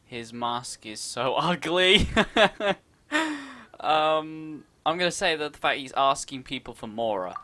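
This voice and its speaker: A woman's voice: low-pitched, animated, slow, pushed and bright. A woman doing jokey, teasing let's-play commentary, reading out dialogue choices and reacting to a game. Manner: teasing, jokey, prone to giggling.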